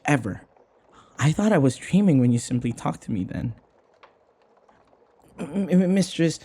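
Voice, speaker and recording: A man playing a flustered, submissive servant in an intimate audio roleplay, hesitant and apologetic, stammering with a breathy voice. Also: a little high, animated, unhurried, dark tone, heavily acted. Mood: embarrassed, flustered. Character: flustered, submissive young male servant devoted to his vampire mistress.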